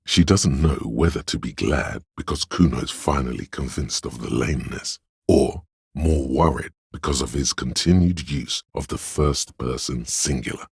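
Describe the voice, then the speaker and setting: An actor voicing a pompous, art-obsessed inner voice in a video game, narrating in a literary, deliberate and theatrical way with a husky, gravelly tone. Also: male voice, extremely low pitch, no echo, studio quality, exaggerated voice. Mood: wry observing. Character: pretentious art-obsessed inner voice, male, musing and cutting. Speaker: narrator of a character's inner skill voice.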